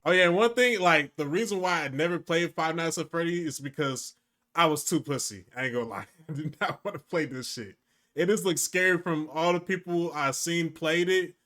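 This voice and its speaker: A man's voice: a little high, moderate pace, bright, forceful tone. A man giving casual, slangy, profane reaction commentary as a streamer.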